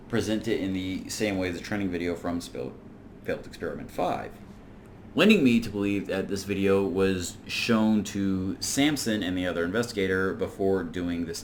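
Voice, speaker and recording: A man's voice: low-pitched, slight background noise. A man hosting an informal video essay, recapping and commenting in a rambling, conversational way. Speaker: video reviewer and host.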